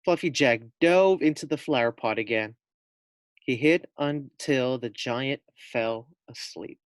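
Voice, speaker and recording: Slow-paced man reading a children's story. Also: medium pitch, no room sound. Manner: pauses to ask young listeners questions. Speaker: teacher reading aloud. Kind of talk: expressive children's storybook read-aloud.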